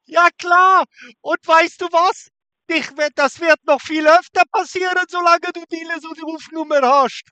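A man's voice: very high-pitched, steady tone, a little slow, sharp tone, no echo. A man on a prank phone call, taunting and crude, sitting in his car in a forest. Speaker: scam baiter.

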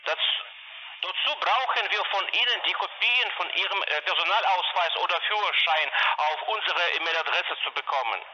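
A man on a muffled telephone line, with a sharp, bright and fairly high voice. He keeps stalling with filler sounds while pushing his questions. A faint hiss sits under the call. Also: normal speed. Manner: hesitant, full of "äh", pushy. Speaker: scam cold caller. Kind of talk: phone sales pitch.

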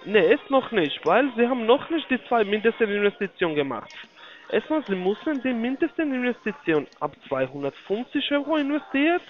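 A high-voiced man with a foreign accent works through a telephone sales pitch. His intonation swings widely as he repeats himself in halting, grammatically broken phrases. The call audio is dull and lo-fi. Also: big pitch swings. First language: not German (non-native speaker). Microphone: phone call.

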